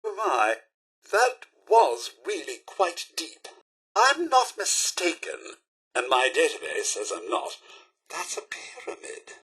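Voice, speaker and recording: Video game robot butler: British, refined, easily dismayed, formal and deferential; highish pitch, bright, forceful edge. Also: male voice, slow pace, husky, heavily acted. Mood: dismayed, wistful. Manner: formal, deferential, theatrically expressive. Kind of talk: video game companion voice lines.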